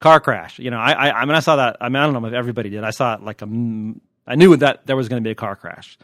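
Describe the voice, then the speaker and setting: A man's voice: mid-range voice, fast, fairly bright tone, dry recording. American man in irreverent, dry-room podcast chat. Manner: irreverent, joking, digressive, mildly profane. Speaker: podcast host, TV critic. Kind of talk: casual, unscripted podcast chat.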